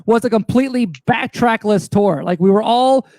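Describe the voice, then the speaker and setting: A man with an unusually high-pitched voice speaks in a dry, close recording. He co-hosts a casual music podcast and chats as a musician himself, full of gushing enthusiasm. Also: normal speed, darkish tone, no room sound. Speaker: podcast host and touring musician. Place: remote online call from own location.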